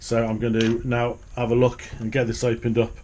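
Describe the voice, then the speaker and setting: A man's voice: medium pitch, monotone, moderate pace, darkish tone. Middle-aged man, casual demo, faint noise. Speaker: hobbyist presenter of a radio repair video. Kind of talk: casual hands-on demonstration commentary. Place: small home office, indoors.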